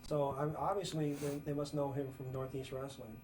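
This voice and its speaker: A man co-hosting a wrestling talk show, chatting casually, laughing often and filling pauses with "uh". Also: a little high, little pitch movement, darkish tone, a little hiss or hum. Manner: chatty, laughs often, heavy use of "uh" fillers. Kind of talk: casual commentary chat.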